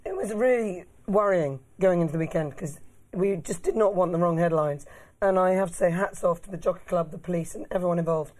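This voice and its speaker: Hoarse, low-voiced woman. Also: a little fast, darkish tone. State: hoarse, lost voice. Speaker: racehorse trainer. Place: radio studio.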